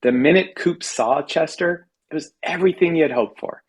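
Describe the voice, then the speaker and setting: An American man giving an expressive reading of a humorous personal essay, with wry, dramatic emphasis. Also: on the high side, moderate pace, husky. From California, United States. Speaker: writer reading own work at a literary reading.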